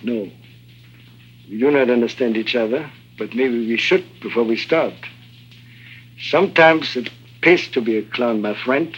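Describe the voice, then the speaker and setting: An actor playing a jovial but fatalistic Eastern European guide, wry and theatrical, in an early 1950s spy drama. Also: male voice, medium pitch, a little slow, pauses often, slightly rough. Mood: wistful. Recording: dull old 1950s soundtrack with music.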